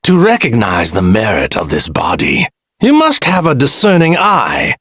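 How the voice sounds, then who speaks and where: A man voicing an arrogant evil god in a stolen warrior's body, speaking formal, grandiose video game lines in a husky, gravelly voice. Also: medium pitch, huge pitch swings, normal speed, a bit bright, exaggerated voice. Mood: self-admiring awe. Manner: pompous, grandiose, formal, archaic phrasing.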